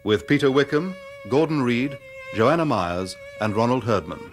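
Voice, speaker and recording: A man's voice: mid-range voice, animated, normal speed, music in the background. A man reading scripted credits as a radio drama announcer.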